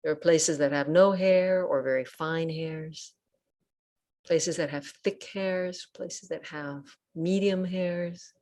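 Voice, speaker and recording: Female meditation guide, low register, gentle delivery, short phrases with patient pauses. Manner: gentle, unhurried, pausing between short phrases. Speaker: Buddhist nun and meditation teacher. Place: online group session over video call.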